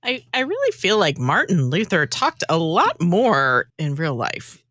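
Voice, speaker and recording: A woman's voice: lowish voice, huge pitch swings, unhurried, a bit bright, no echo, clean studio sound. A woman speaking scripted lines in a comedy radio skit.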